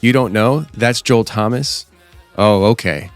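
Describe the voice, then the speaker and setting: A man in his thirties hosting a live podcast stream, chatting casually and rambling with lots of fillers, his voice a bit hoarse from losing it. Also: lowish voice, measured pace, soft background music. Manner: rambling, talkative, many fillers and restarts. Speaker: podcast host. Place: office studio.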